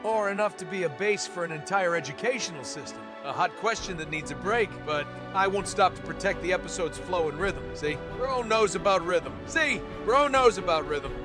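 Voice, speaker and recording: A man's voice: high-pitched, lively intonation, moderate pace, few pauses, over music. A man narrating an explainer video as a comic voice-over, playful and jokey, talking straight to the viewer.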